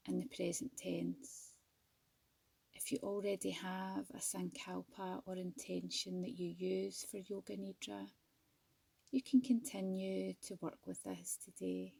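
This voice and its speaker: A woman leads a guided meditation at a very unhurried pace. Her voice is soft and husky, with a creaky edge. She sounds gentle and soothing, leaving measured pauses between phrases. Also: mid-range voice, no room sound. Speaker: yoga nidra teacher.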